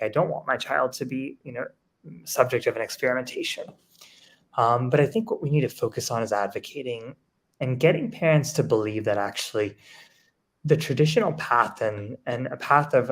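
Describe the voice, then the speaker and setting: Mid-pitched man speaking on a panel. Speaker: panelist, education leader. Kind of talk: panel discussion, making structured points.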